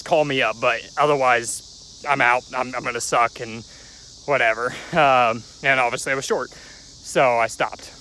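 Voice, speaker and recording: A man's voice: medium pitch, gravelly, pushed and bright, slight background noise, poor audio. A young man telling his life story in a rambling, chatty vlog, recorded outdoors near a railway. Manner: rambling, chatty, joking aside at passing trains. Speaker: vlogger.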